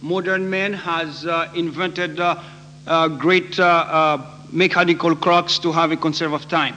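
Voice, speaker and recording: A man from Haiti with a Haitian accent, preaching in short phrases with frequent halting pauses for an interpreter. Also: on the high side, steady tone, pushed and bright. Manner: halting, pausing often. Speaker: guest preacher. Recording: noisy echoey hall with hum.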